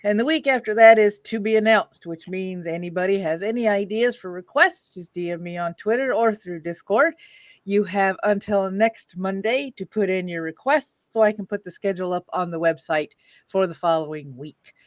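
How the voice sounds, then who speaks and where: A woman's voice: mid-range voice, moderate pace, dry recording, dull audio. A woman hosting an online old-time radio stream, chatting casually and jokingly with her audience and panel. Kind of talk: casual livestream chat.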